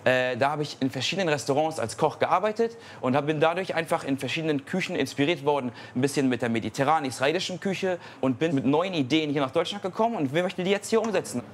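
A young man who works as a cook in a restaurant kitchen, talking casually and calling out orders briskly. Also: on the high side, animated, slight background noise. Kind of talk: casual talk during kitchen service.

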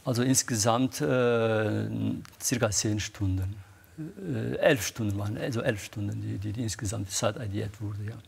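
Gravelly-voiced man telling an excited story. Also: on the low side. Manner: excited, repetitive, halting with many fillers. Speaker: guest athlete. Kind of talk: emotional recounting in a studio interview.

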